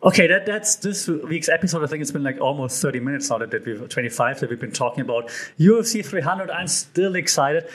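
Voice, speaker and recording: A man hosting a solo podcast, talking in a casual, chatty way with lots of fillers and restarts, his voice a bit hoarse. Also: fairly high pitch, lively intonation, a little fast, a bit bright. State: slightly hoarse. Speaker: podcast host.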